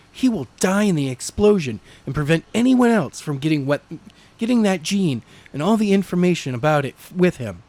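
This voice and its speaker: Man voicing a pleading young woman, pitched up. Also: darkish tone, no echo. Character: young woman, Hillary, pleading, doubtful, weary of fighting. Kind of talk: amateur audiobook character reading.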